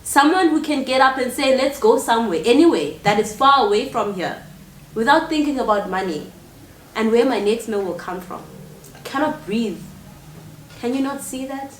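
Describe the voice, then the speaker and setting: Actress as proud angry poor woman, higher voice, theatrical intensity, echoey room, faint noise. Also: natural voice. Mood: desperate, angry. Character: proud, angry, impoverished woman refusing pity.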